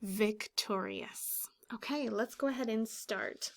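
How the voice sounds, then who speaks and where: A woman in her thirties, a teacher explaining a children's story with deliberate enunciation. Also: a little high, background noise. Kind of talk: instructional children's read-aloud. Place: small room indoors, classroom or office decor.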